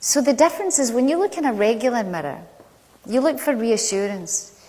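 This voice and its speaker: A middle-aged woman with a Glaswegian Scottish accent gives a prepared stage talk, telling stories in a measured, conversational way with deliberate pauses. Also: on the high side, sing-song, warm tone. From Glasgow, Scotland. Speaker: public speaker. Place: large indoor stage venue. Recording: echoey hall, long reverb.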